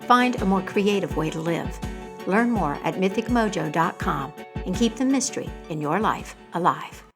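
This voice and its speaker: A woman's voice: lowish voice, animated, moderate pace, creaky. A woman reading a scripted promo as a podcast host. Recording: music behind the voice. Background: music.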